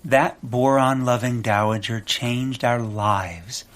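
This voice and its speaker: A woman's voice: rumbling low, takes it slowly. A woman playing an eccentric, fretful, bird-obsessed naturalist in a comic audio drama, dramatic in delivery. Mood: wistful storytelling.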